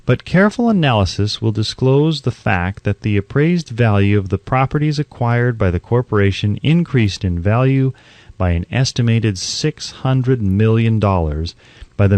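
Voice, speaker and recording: A man reading an audiobook in a measured, articulate storytelling delivery, close on the microphone. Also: fairly low pitch, animated, darkish tone, dry recording. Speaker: audiobook narrator. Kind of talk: scripted audiobook narration.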